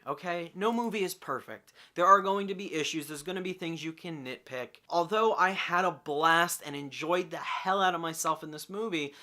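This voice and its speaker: A man's voice: on the high side, bright, forceful tone. A man in his early twenties giving an enthusiastic, emphatic movie review in casual fan talk with some swearing. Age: early 20s. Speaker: film reviewer.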